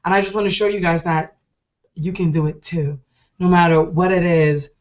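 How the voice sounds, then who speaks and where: A middle-aged woman hosting an online show, hoarse and deep-voiced from a cold, talking in a chatty, rambling, enthusiastic way. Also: fairly even intonation, moderate pace, darkish tone, highs cut off. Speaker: host. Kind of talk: casual online show talk.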